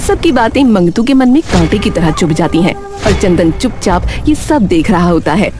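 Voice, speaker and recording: A woman narrates a scripted tale. Her delivery is expressive, with lively rises and falls in pitch. Quiet music plays behind her. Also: moderate pace. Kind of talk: scripted story narration in Hindi.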